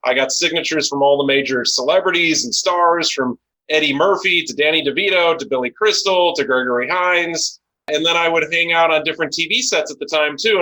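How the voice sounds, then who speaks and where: Bright, forceful American man in his forties. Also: a little high, low-quality recording. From United States (Chicago and Los Angeles). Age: 40s. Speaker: business owner, interview guest. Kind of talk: conversational storytelling.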